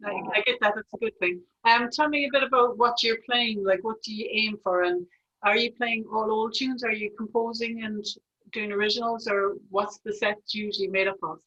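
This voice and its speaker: A woman's voice: fairly high pitch, fairly even intonation, moderate pace, a bit bright. A woman interviewing a band over a video call, effusive and generous with praise. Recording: dull lo-fi video call audio.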